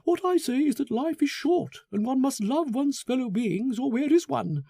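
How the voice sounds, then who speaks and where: A man's voice: extremely high pitch, animated, normal speed, vocal fry, dark tone, husky, dry recording, pitched above the performer's own voice, exaggerated voice. A man voicing an eager, self-satisfied, sentimental and patronizing thin man in an audiobook, prattling on effusively. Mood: sentimental, chummy. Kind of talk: audiobook character acting.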